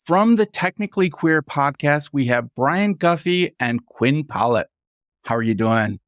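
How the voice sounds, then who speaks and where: A man hosting a casual podcast, friendly and quick to laugh. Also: fairly high pitch, expressive, no echo, studio quality. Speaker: podcast host.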